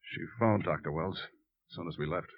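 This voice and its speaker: A man acting a stern, formal ship's officer in a 1950s radio drama, with a brisk, urgent delivery. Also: sits low, dry recording. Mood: explanatory. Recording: dull, dry 1950s radio recording.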